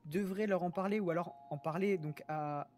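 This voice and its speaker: A woman's voice: fairly low pitch, moderate pace, a little mellow, natural voice. A woman playing a supportive, blunt confidant, giving frank advice in casual improvised roleplay dialogue. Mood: frank, advising. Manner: frank, advising.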